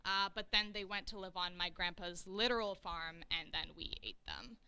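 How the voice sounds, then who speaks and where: A woman's voice: fairly low pitch, normal speed, smooth, poor audio. A woman from Iran introducing herself to new students with playful jokes. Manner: playful, joking, lively. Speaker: co-working space manager. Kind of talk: casual staff introduction.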